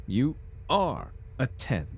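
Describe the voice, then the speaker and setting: A man's voice: medium pitch, huge pitch swings, slow pace, slightly rough, heavily acted. A man playing a suave, over-polite corporate recruiter in an ASMR roleplay, with a formal, unctuous salesman's patter. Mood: eager, coaxing. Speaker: voice actor in an audio roleplay. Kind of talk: ASMR roleplay, scripted in-character monologue.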